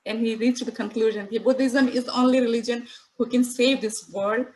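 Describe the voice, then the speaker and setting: A woman's voice: on the high side, steady tone, a little fast, a little mellow, rough recording. A woman interpreting and explaining in an interview, earnest and full of "you know" fillers. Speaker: interpreter and co-guest. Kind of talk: interview, translating for the guest.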